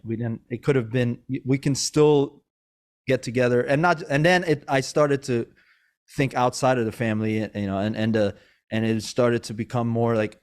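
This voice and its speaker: Rambling, friendly male podcast host. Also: mid-range voice. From Iran, raised in Maryland. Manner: rambling, with many fillers and restarts. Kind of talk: casual interview chat. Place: Sacramento, California.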